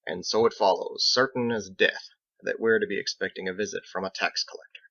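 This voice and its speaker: A man voicing a genteel, wry, philosophical sea captain who speaks formally and deliberately in a storybook reading. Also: on the low side, fairly even intonation, pushed and bright, no room sound, highs cut off. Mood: pensive. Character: genteel, measured, pipe-smoking male sailor captain, wry and philosophical.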